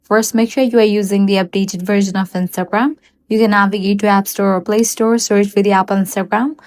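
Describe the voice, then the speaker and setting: A woman giving a scripted, step-by-step app tutorial as a voice-over, in an even, instructional delivery. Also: medium pitch, brisk. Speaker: tutorial narrator. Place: voice-over for a screen recording.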